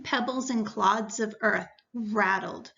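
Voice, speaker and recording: A woman teacher reading a story aloud to students in a deliberate, instructional way. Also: medium pitch, vocal fry, bright, forceful tone. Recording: dull, lo-fi sound.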